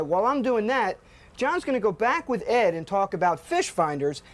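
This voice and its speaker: A man working as a boat rigger, explaining step by step how to mount marine electronics in a practical way. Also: high voice. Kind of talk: how-to demonstration.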